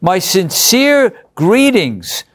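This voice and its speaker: A man's voice: sits high, big pitch swings, drawn-out words. An English man hosting a Bible study program, reading out viewer letters in an upbeat way and chuckling between remarks. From England. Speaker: host.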